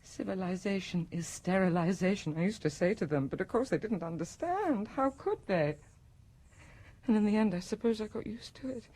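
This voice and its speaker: A woman's voice: expressive, moderate pace, warm tone, husky. An actress playing a faded, garrulous woman exiled from a sterile future city, rambling tearfully in a radio drama. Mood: plaintive, fussy. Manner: gushing, rambling, swings from whisper to shrill cry. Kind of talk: BBC radio drama acting.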